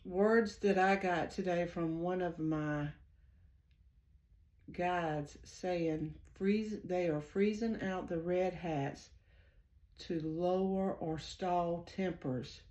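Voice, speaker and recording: A woman with a Southern US accent giving a casual spiritual reading, halting with many ums as she reads from her notes. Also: lowish voice, dark tone. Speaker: psychic channeler.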